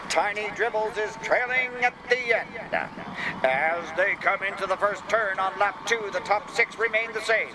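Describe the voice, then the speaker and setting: Male sports commentator acted by a story reader, very high-pitched, eager play-by-play for a children's storybook, noisy surroundings. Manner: punning, building play-by-play delivery. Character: excitable male race commentator calling a children's animal race.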